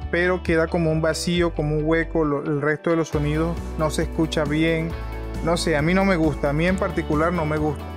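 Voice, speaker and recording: Higher-pitched man giving an informal tutorial. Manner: conversational, repeats and rephrases himself. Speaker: video tutorial host. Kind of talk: informal explanatory screen tutorial.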